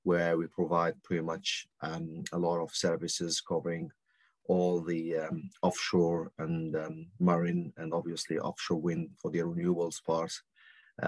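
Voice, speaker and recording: Deep flat-voiced man with many pauses. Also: husky, dry recording, low-quality recording. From Algeria. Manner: halting, many ums and restarts. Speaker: guest consultant. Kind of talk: podcast interview answers.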